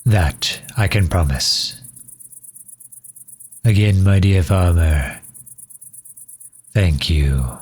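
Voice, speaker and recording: A man plays an earnest male vampire diplomat in an intimate audio roleplay. His voice is very deep, soft and husky. He speaks slowly, with formal, old-fashioned courtesy. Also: full character voice. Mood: grateful, resolute. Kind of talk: scripted ASMR roleplay, addressing the listener.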